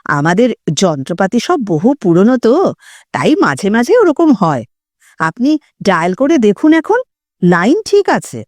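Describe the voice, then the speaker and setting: A woman's voice: mid-range voice, big pitch swings, unhurried, darkish tone, dry recording, pitched below the performer's own voice. A woman voicing a polite, deferential male telephone company employee who speaks hesitantly and reassuringly. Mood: affable, apologetic.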